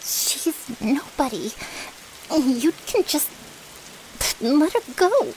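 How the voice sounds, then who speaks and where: Brash, loyal female thrall, acted by a woman, cocky and casual, lively higher voice, unhurried, audio roleplay. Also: a little hiss or hum, heavily acted, rough recording. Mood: wary. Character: scrappy, brash, loyal young female vampire's servant.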